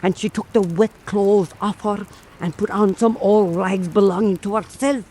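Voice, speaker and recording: A man telling a folk tale as scripted narration, in a measured and dramatic manner. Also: high-pitched, steady tone, a little mellow. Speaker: storyteller.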